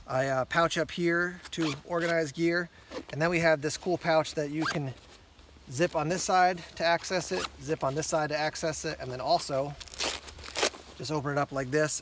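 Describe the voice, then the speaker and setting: A man giving a casual hands-on gear walkthrough outdoors, pausing with ums and correcting himself as he talks. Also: fairly high pitch, background noise. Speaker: gear reviewer. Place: outdoors on a rock among plants.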